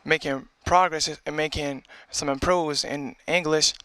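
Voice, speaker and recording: A man's voice: on the high side, steady tone, unhurried, bright, forceful tone, clean tone, dry recording. A young man from Algeria chatting casually, full of slang and filler phrases. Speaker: audience member. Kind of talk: casual chat. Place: TV studio.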